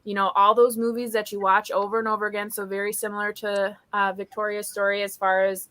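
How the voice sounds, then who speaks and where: A woman's voice: medium pitch, level tone, quick pace, pushed and bright. A woman in her thirties hosting a casual book live stream over a video call, chatting in an enthusiastic, informal way. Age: 30s. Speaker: host of a book readalong live show.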